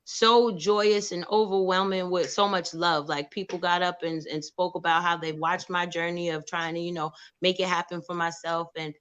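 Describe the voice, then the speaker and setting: A young woman, an actress guest in a casual interview, telling stories lively and acting out quoted voices. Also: fairly low pitch, normal speed, hardly any pauses, clean tone. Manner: animated storyteller, acts out quoted voices, laughs often.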